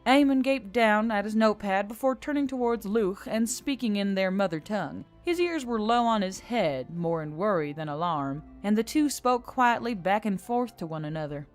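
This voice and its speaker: A woman's voice: mid-range voice, lively intonation, normal speed, hardly any pauses. A woman narrating a scripted fantasy story as an audiobook reader. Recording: music under the voice.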